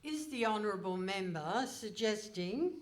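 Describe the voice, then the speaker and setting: A woman playing a haughty, pompous Iron Lady politician in a comic radio play, speaking in a stately, deliberate manner. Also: medium pitch, lively intonation, lightly acted. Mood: pompous, self-important. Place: hall stage before a live audience.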